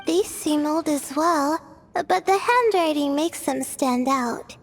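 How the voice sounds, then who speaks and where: A woman's voice: high voice, takes it slowly, big-room sound, faint music behind. A woman voicing a timid, modest teenage student in an English game dub, hesitant and self-doubting. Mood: hesitant, thoughtful.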